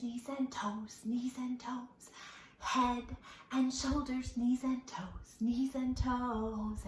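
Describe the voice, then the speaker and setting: A woman's voice: high voice, fairly bright tone, echoey room, slight background noise. A woman leading a children's storytime lesson, speaking or singing in an encouraging, playful way with careful, exaggerated enunciation for little ones. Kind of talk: children's lesson with songs and questions.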